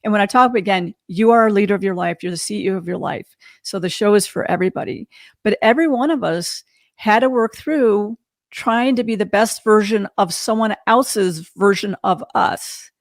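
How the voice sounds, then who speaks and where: Candid woman podcaster with creaky voice. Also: mid-range voice, moderate pace, dry recording, clean studio sound. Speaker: podcast host. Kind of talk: casual reflective interview talk.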